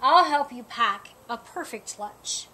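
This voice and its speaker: A woman's voice: on the high side, expressive, takes it slowly, bright, forceful tone, a little hiss or hum, full character voice, rough recording. A woman voicing a worried, bossy small male animal in a storybook, insistent and cautioning. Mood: bossy, helpful.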